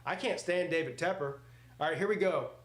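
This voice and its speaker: A man's voice: a little high, fairly quick. A man in his forties hosting a live sports watch party, mixing casual chat with play-by-play in a blunt, joking, rambling way. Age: 40s.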